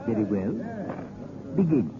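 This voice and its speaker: An actor playing a sly, probing gentleman with an arch, formal, questioning manner in 1930s radio drama. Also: fairly low pitch, expressive, unhurried, a crowd in the background. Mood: arch, testing. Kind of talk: 1930s theatrical radio acting. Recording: very noisy, tinny 1930s radio recording.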